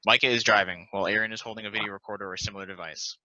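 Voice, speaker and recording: A man's voice: lowish voice, fairly even intonation, very fast, sharp tone, no echo. A man reading scripted narration as the narrator of stage directions. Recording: dry, dull lo-fi audio.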